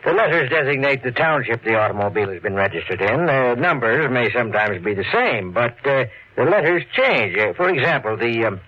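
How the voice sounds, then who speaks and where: An actor playing an obliging small-town clerk who explains things hesitantly and politely in 1940s radio drama style. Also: male voice, medium pitch, lively intonation, lightly acted. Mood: helpful, polite. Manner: hesitant, helpful, drawn-out explaining with hedges. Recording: muffled, noisy 1940s radio recording.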